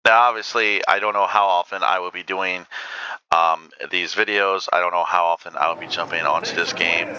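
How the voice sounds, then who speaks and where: A man's voice: low voice, fairly even intonation, moderate pace, clear, resonant tone, highs cut off. A man hosting a gaming channel, chatting casually and rambling with lots of ums while he plays a racing game. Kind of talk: casual gameplay commentary. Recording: loud game engine noise behind voice. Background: racing car engines from the game.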